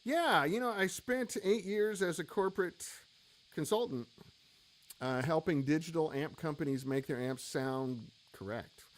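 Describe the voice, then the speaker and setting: A man's voice: on the high side, measured pace. Rambling man in his late fifties. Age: 50s. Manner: rambling and candid, with many fillers. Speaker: amp maker hosting a live web show. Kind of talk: casual live-stream chat reading viewer comments.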